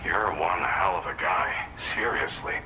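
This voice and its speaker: Low, bright-voiced affable researcher. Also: male voice, unhurried, slight background noise, full character voice. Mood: grateful, impressed. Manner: informal, conversational, explanatory. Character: affable, grateful male researcher in an isolated shelter. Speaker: researcher in a mountain shelter. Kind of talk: video game dialogue.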